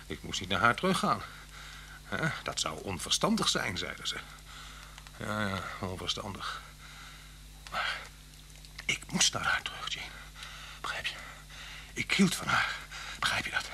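Brooding fugitive husband, low but tense and bright, halting and hushed, old radio drama with hum. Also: male voice, big pitch swings, gravelly, full character voice. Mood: sorrowful, halting. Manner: halting, brooding, often dropping to a near-whisper. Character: unhappy, soft-spoken husband on the run from police. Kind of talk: Dutch radio play acting.

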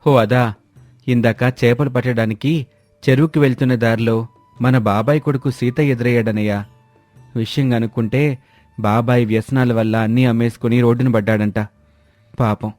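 Man as a mellow, kind younger village brother. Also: medium pitch, fairly even intonation, moderate pace, long pauses, dry recording, soft background music, close to an everyday voice. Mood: explaining. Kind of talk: dramatised moral story dialogue.

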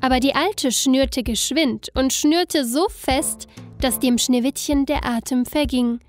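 A woman narrating a scripted fairy tale in an expressive, deliberate storytelling manner. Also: high voice, soft background music.

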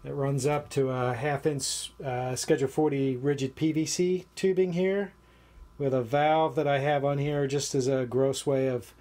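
A man in his forties explaining a homemade project in a small basement utility room, in a relaxed, plain way with occasional fillers. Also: mid-range voice. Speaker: vlogger. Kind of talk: casual show-and-tell walkthrough.

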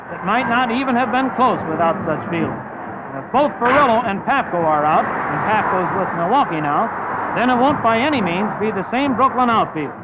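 High-pitched man narrating a vintage sports newsreel. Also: very noisy. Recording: dull vintage 1950s newsreel sound, music behind.

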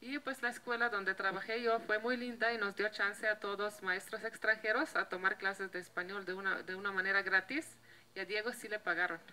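A woman's voice: mid-range voice, fairly even intonation, brisk, pushed and bright, slight background noise, rough recording. A woman in her thirties, a native Slovene speaker with a Slovenian accent, chatting casually to camera outdoors with a handheld mic, restarting words and laughing lightly. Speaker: vlogger. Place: outdoor patio by a block wall.

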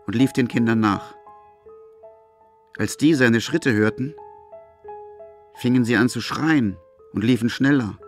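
A man narrating a literary audio drama from a script. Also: medium pitch.

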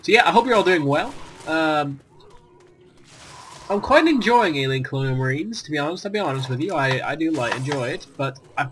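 Rambling young gamer, bright tone. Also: male voice, on the high side, lively intonation, fairly quick, slight background noise. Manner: rambling, self-deprecating, many fillers and restarts. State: recovering from a virus, lost his voice. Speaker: gaming YouTuber. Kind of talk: casual gameplay commentary.

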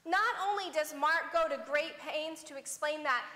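A middle-aged woman pastor preaching a sermon from a church pulpit in a measured way, her voice dropping to a hush at the ends of phrases. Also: high voice. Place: large church sanctuary before a pipe organ. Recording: long church reverb.

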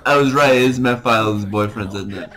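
A man's voice: mid-range voice, brisk, a bit bright, soft background music. A young man casually reacting to a video in a bedroom, with game audio behind him. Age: young adult. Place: small bedroom.

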